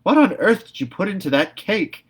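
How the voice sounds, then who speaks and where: A man's voice: medium pitch, very animated, pitched above the performer's own voice. A man putting on a scolding old spinster. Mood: exasperated. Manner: brisk, scolding. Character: stern elderly spinster woman, sharp-tongued.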